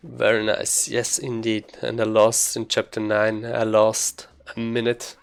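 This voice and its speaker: Male gamer, lowish voice, casual speedrun commentary, halting delivery as he thinks through his moves. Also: completely flat delivery, vocal fry, clean tone, faint music behind. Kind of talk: casual live gaming commentary.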